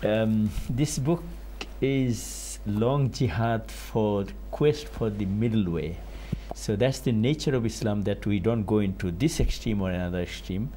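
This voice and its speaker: Older bangladeshi-born guy on a tv panel, slow and measured, gets emphatic, lapel mic in studio. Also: lowish voice, warm tone, slight background noise. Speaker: author guest. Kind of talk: TV panel discussion.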